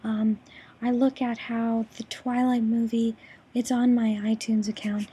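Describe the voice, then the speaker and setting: A young woman with a hoarse, raspy voice after throat surgery, presenting her course project over a screen recording with many ums and restarts. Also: fairly high pitch, flat delivery, a little slow, dark tone, slight background noise. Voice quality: raspy, breathy.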